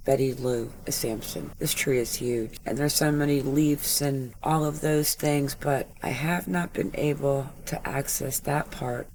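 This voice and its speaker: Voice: medium pitch, gravelly, a little hiss or hum. A true crime channel host giving casual, opinionated commentary with lots of filler words. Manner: chatty, filler-heavy, opinionated.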